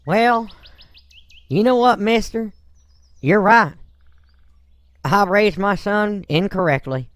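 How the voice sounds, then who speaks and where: A man's voice: sits high, a bit bright, dry recording. A man voicing a folksy, blunt but courteous businessman and father in a game roleplay. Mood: regretful. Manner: folksy, blunt, drawn-out hesitations. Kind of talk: Minecraft roleplay voice acting.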